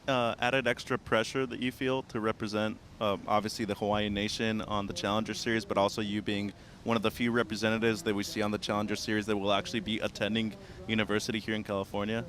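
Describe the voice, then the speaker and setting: A man commentating on a surf contest and asking the surfers questions, in a brisk, analytical way. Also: on the low side, flat delivery, a bit bright. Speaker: broadcast commentator.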